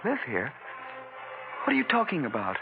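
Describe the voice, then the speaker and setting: A man's voice: a little high, wildly swooping pitch, moderate pace, gravelly, music in the background, heavily acted. An actor playing a young man who drinks too much, pleading and confused in an old 1940s radio drama. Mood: dazed. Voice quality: slurred, pleading, emotional delivery. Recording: hissy, muffled old radio broadcast.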